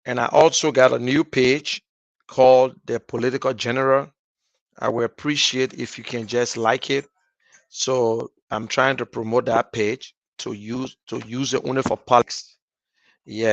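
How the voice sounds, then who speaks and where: A Liberian man talking to his online viewers about politics, starting softly and growing loud and heated. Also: medium pitch, fairly even intonation, vocal fry, no room sound. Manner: starts slow and soft, grows loud and heated. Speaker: political commentator. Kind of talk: talking straight to camera.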